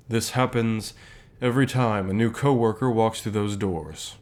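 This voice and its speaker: A man playing a calm, reassuring company boss with gentle authority, speaking softly in measured phrases for an ASMR roleplay. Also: fairly low pitch. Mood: reassuring, concerned. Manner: measured, soft-spoken, deliberate pauses. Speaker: voice actor in an audio roleplay.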